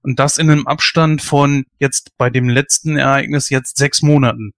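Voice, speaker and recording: A man's voice: medium pitch, fairly even intonation, normal speed, no echo, studio quality. A man in his late thirties hosting a casual discussion, giving strong opinions emphatically and working himself up into a rant. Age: 30s.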